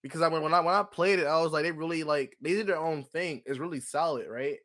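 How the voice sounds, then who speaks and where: Male podcast co-host, somewhat high pitch, casual slangy chat with opinions. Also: quick pace, fairly bright tone. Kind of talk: casual group discussion.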